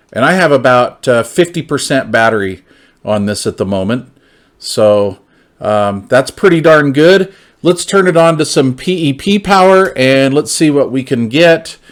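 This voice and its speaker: A man's voice: mid-range voice, expressive, unhurried. A man reviewing gear hands-on in a casual, informal, folksy way, often repeating his phrases.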